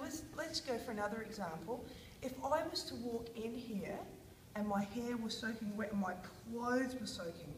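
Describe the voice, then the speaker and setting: A woman's voice: a little high, roomy sound, a little hiss or hum, rough recording. Australian woman teacher prompting kids. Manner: questioning, prompting, encouraging. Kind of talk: guided reading lesson with children. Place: classroom.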